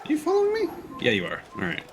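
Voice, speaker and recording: A man's voice: very high-pitched, wildly swooping pitch, very fast, crowd noise. A man hosting a livestream, chatting casually and commentating on his game with rambling, wry asides. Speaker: livestream gamer and host. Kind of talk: casual gameplay commentary and chat.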